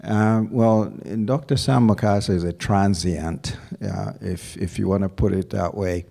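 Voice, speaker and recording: A middle-aged man from Uganda, a university provost, answering interview questions on a campus stage with a handheld mic, measured and full of fillers. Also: fairly low pitch, steady tone, gravelly, mellow. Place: lounge stage before an audience. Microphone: handheld microphone.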